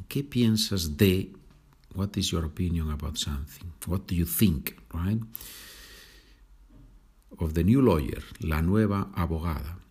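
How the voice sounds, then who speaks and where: Slow, low-voiced Spanish man teaching. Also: slightly rough. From Spain. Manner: patient, enunciates slowly, repeats phrases. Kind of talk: slow, repetitive language lesson.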